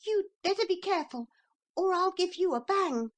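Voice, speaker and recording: A plucky, indignant small boy in a storybook, played by a woman, high and fast. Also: expressive. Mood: defiant. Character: brave, curious, indignant little boy.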